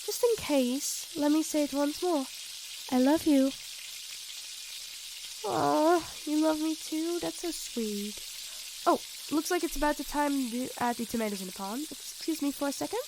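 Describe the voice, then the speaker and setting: A woman's voice: high voice, lively intonation, measured pace, noisy background. A young woman playing an affectionate, easily flustered girlfriend in an ASMR roleplay, speaking expressively and intimately to her partner. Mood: cheerful, domestic. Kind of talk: ASMR roleplay acting addressed to the listener.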